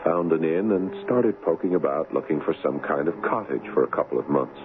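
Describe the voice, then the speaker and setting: Deep-voiced, earnest American husband. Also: male voice, lively intonation, a little fast. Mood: somber, recounting. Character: devoted American husband recounting a haunted tale. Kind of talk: old radio drama acting and narration. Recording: noisy, narrow old radio broadcast.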